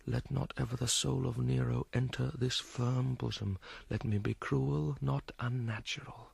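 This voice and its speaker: An actor playing a brooding, volatile young prince feigning madness in a theatrical Shakespearean radio drama, with a husky, gravelly voice and intense delivery. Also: male voice, mid-range voice, little pitch movement, darkish tone, no echo, exaggerated voice. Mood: dark, brooding. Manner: slow, intense, declamatory.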